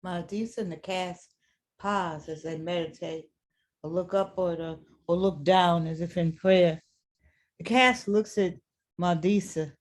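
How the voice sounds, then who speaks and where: A woman's voice: lowish voice, a little mellow. A woman reading scripted stage directions as the narrator of a play.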